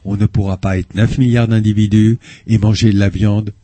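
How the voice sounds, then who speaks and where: An older man speaking as an association spokesman in a radio interview, measured and hesitant, with frequent pauses. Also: on the low side, little pitch movement, dark tone, no room sound, faint music behind. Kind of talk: earnest radio interview answers. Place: radio studio. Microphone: studio microphone.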